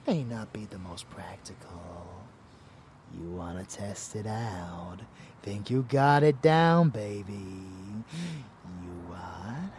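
A man playing a rebellious, murderous vampire lover in an intimate ASMR roleplay, teasing and menacing, breathy and often dropping into a whisper. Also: on the low side, a little mellow, a little hiss or hum. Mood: teasing, possessive.

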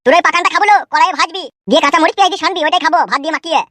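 A man's voice: very high-pitched, very fast, fairly bright tone, no echo, studio quality. A man voicing a grumpy, blunt village husband who bickers with his wife in a cartoon comedy. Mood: angry, bickering.

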